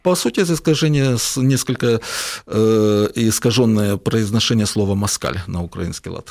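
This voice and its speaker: A man's voice: lowish voice, moderate pace, gravelly, darkish tone, no echo. A man from Russia, a guest explaining at length in an interview, hesitant with many fillers and restarts. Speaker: guest analyst.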